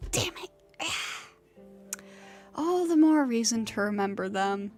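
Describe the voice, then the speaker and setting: A woman's voice: on the high side, lively intonation, slow pace, a little mellow, soft background music. A woman streaming a game, reading dialogue aloud and reacting as she plays. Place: voice-over on a game livestream.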